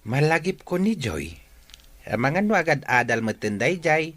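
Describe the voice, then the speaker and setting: Animated Ilocano uncle played by an actor. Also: male voice, fairly high pitch, moderate pace, no room sound. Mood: gossipy. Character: hearty middle-aged Ilocano uncle, generous and chatty. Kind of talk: Ilocano radio drama acting.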